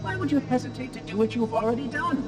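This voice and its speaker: An actor voicing a small, ancient floating robot from a sci-fi video game, with fussy, pompous diction and a synthetic tinge, cheerful and officious with menace beneath. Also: male voice, very high-pitched, quick pace, recorded from a distance, over music. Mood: matter-of-fact, insistent.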